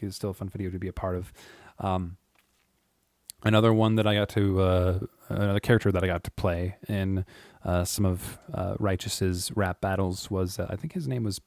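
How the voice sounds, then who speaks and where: A man with a deep voice, chatting casually and rambling to his viewers, with frequent chuckles and filler words. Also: a little mellow, no echo, studio quality. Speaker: YouTube creator. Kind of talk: casual monologue to camera.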